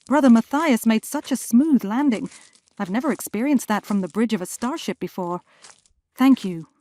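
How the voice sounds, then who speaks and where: A woman acting in an audio drama as a gentle, anxious mother who is grieving. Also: on the high side, lively intonation, moderate pace, dry recording, lightly acted. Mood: warm, wry. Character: gentle grieving mother, returned after twenty years, anxious.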